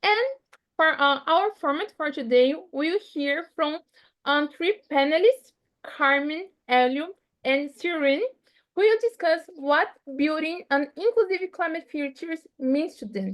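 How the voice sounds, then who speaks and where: A woman with a Portuguese accent and Portuguese as her first language, hosting an online panel over a video call in lively, non-native phrasing. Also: sits high, measured pace, low-quality recording. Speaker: event host.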